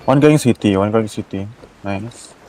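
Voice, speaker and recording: A young man with a Malaysian accent chatting casually and excitably while he plays, slipping between English and Malay slang. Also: on the low side, normal speed, low-quality recording. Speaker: game streamer. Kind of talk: casual game stream chat with live commentary. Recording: game music behind the voice. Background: video game music.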